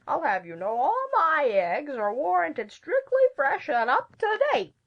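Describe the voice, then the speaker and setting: A woman voicing a proud, indignant hen with a shrill, huffy delivery in a storybook. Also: wildly swooping pitch, unhurried, highs cut off, heavily acted. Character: proud, sassy, indignant female yellow hen.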